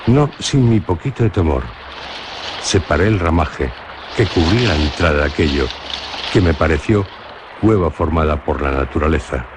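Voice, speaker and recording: A Spanish man narrates a radio drama with a low, deep voice. His delivery is measured and literary, like a storyteller. Faint noise sits under the recording. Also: expressive. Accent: Spanish from Spain.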